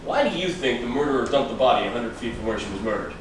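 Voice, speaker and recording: A man's voice: mid-range voice, lively intonation, fast talker, natural voice. Man acting a probing detective, very echoey distant recording. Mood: musing. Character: male detective, teasing, probing friend of another investigator. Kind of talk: stage acting at a script reading. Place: large room, staged reading recorded from afar. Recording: echoey room, far from mic, noisy.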